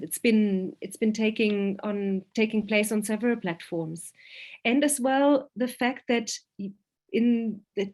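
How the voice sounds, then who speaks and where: A woman speaking as a guest academic in a virtual forum. She hesitates often, restarting and repeating words as she thinks aloud. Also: fairly high pitch. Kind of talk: spoken academic talk answering questions. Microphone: video call.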